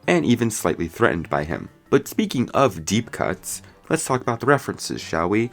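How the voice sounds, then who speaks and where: A man giving a scripted movie review commentary in a casual, opinionated, conversational way. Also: deep voice. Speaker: video reviewer.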